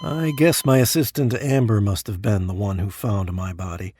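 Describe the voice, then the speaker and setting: An actor playing a smug, stubborn dead business tycoon in an audio drama, with a wry, self-satisfied manner. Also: male voice, fairly low pitch, normal speed, lightly acted. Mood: wry storytelling. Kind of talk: first-person audio drama narration and dialogue. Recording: noisy background ambience, sometimes echoey.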